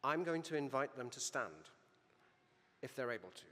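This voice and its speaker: A man with a Welsh accent delivers a formal, encouraging ceremonial address in a large hall. His pitch sits at a medium level. A long reverb hangs behind his voice. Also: lively intonation, moderate pace, a bit bright. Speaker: university provost. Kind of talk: ceremonial welcome speech.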